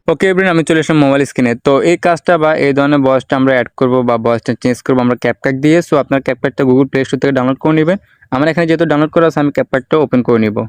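A man hosts a software tutorial at a very rapid pace, in a slightly high voice. He repeats his explanations and drops in English words. The sound is dry and close. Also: clean tone, no echo. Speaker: tutorial host. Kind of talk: step-by-step software tutorial narration.

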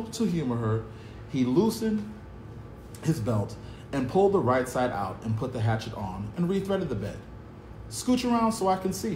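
A man in his thirties reads a chapter book aloud for children, earnest and dramatic. His mid-pitched voice bounces around a bare cinderblock room, picked up by a phone on the table with a faint hum behind. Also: warm tone, echoey room, rough recording. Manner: earnest, dramatic, upbeat at the close. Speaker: youth club staff reading a novel aloud. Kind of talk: read-aloud storytelling for kids.

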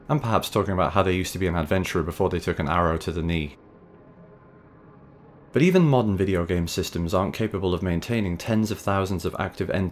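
Low-voiced man narrating over music. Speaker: host and narrator of a video series. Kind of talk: scripted voice-over narration, reflective video essay. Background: background music.

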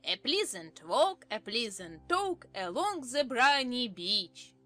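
A woman's voice: a little high, big pitch swings, takes it slowly, sharp tone, faint music behind, heavily acted. A woman playing a contrary, pompous comic twin brother, reciting in a dramatized storybook audiobook.

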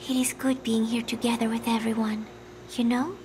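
An actress playing a frail, confused young woman in a video game, haunted and gentle, with halting, breathy speech that often drops toward a whisper. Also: fairly high pitch, flat delivery, noisy background, close to an everyday voice. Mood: wistful. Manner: halting, hesitant, fragmented phrases. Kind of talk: dramatic video game cutscene acting.

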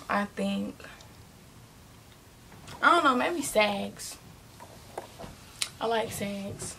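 A young woman of nineteen rambles casually into a camera mic in her small bedroom. Her voice has a gravelly fry, and she often restarts and corrects herself. A faint hiss sits behind her. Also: medium pitch, low-quality recording. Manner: chatty, rambling, restarts and self-corrections, drops into quiet asides. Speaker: vlogger. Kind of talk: casual Q and A talking to viewers.

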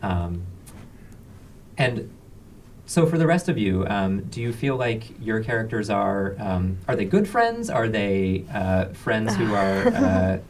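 A man co-hosting a casual panel interview, asking questions in a halting, hesitant way. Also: on the low side, sing-song. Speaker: co-host interviewer. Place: small indoor studio. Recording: slightly distant mic, faint room noise.

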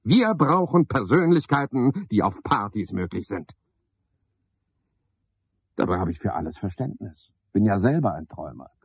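An actor playing a garrulous, pompous, sardonic porter at a boarding house, with a husky voice, in a radio play monologue. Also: male voice, on the low side, very animated, moderate pace, no room sound, muffled recording, heavily acted. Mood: scornful, self-satisfied. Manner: rambling, pompous monologue with mocking asides. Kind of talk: 1970s German radio play acting.

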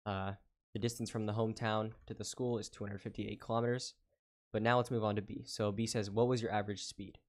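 A man with a lowish voice talks through a math problem as a tutor. He explains each step patiently, pausing with small fillers and slowing down while he reads out numbers. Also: flat delivery. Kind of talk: whiteboard lesson walkthrough.

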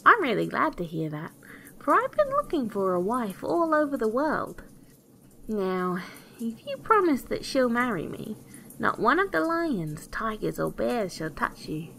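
A woman's voice: fairly high pitch, very animated, a little slow, vocal fry, fairly bright tone, a little hiss or hum, voice pushed up, full character voice, poor audio. A woman voicing a tiny, ugly, sly dwarf man in a fairy tale, haughty and mocking. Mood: eager, cajoling. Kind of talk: theatrical fairy-tale storybook voice.

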